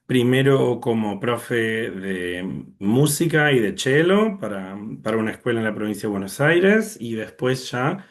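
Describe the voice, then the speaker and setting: A man's voice: medium pitch, animated, measured pace, few pauses. A young man from Argentina with an Argentine accent, a conductor telling his story in an interview over a video call, thoughtful and full of fillers. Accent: Argentine Spanish. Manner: thoughtful, many fillers and restarts. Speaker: orchestra conductor, guest.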